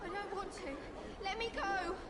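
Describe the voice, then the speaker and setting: An actress playing a frightened, pleading Victorian prostitute in a video game, breathy, hushed and panicked. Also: female voice, very high-pitched, fairly even intonation, moderate pace, a crowd in the background.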